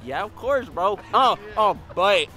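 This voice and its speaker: High-voiced young man with a stutter. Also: animated, measured pace. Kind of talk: hesitant casual chat. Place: outdoor city plaza. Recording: loud music over outdoor street recording.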